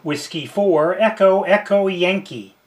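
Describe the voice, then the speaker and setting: A man working a ham radio contact, slowly spelling his call sign in phonetics. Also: sits high, background noise. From United States.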